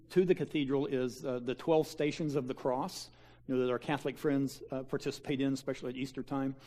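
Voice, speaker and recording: A man pastors a small church and talks his congregation through mission-trip photos. His voice sits at a middling pitch, and his delivery is folksy and halting, full of fillers, restarts and offhand asides. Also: a little mellow. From Kansas. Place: church sanctuary.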